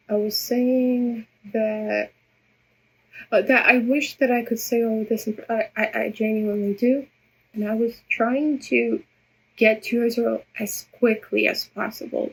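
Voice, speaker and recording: A woman's voice: a little high, gravelly, husky, poor audio. A woman whose first language is Russian, talking unscripted to her viewers into a phone camera, rambling and stammering through many restarts. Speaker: vlogger addressing viewers. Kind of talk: unscripted personal monologue.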